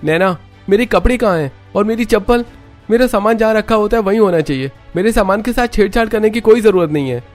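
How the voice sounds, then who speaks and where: A man voicing a short-tempered young husband who scolds his new wife in a loud, harsh voice. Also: sits high, lively intonation, fast talker, faint music behind, pitched above the performer's own voice. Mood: angry shouting. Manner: shouting, scolding.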